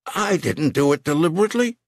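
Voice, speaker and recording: A man's voice: a little high, expressive, fast talker, no echo, clean studio sound, voice pushed up. A man voicing a frightened elderly bookmaker in an audiobook, pleading and desperate. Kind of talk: audiobook character voice.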